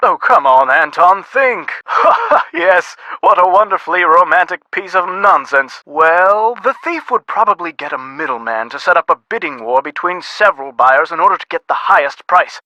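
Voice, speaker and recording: Pompous antiques expert, bright voice. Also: male voice, high voice, big pitch swings, moderate pace, dry recording, exaggerated voice, low-quality recording. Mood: smug, obliging. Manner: pompous, courtly, theatrical. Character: genteel, self-satisfied older male antique carousel horse expert. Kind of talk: video game voice acting.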